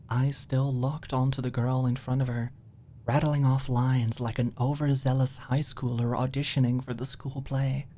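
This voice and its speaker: A woman's voice: rumbling low, mellow, slight background noise. A woman narrating a scripted audiobook in an ASMR style.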